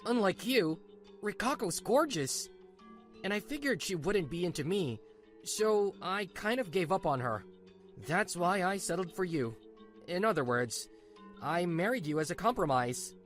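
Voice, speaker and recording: A woman's voice: lowish voice, lively intonation, a little slow, soft background music. A woman voicing a smug, sneering middle-aged husband in a manga dub. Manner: sneering, dismissive.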